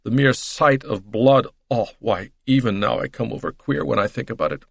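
A man's voice: medium pitch, normal speed, vocal fry, dry recording. A man voicing a talkative, melodramatic middle-aged American woman in an audiobook, with a gushing, emphatic, breathless delivery. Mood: self-pitying.

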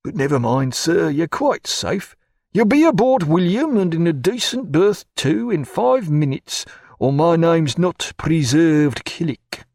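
A man voicing a grumbling, bossy old male ship's steward who talks in rough sailor's dialect. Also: on the high side, animated, unhurried, darkish tone, no echo, studio quality, voice pushed up.

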